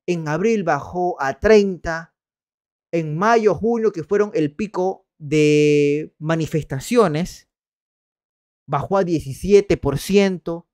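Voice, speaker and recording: A man's voice: high-pitched, darkish tone. A young man, doing casual and sarcastic political commentary on a livestream, reading out poll figures and greeting viewers over a headset mic. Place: small room indoors.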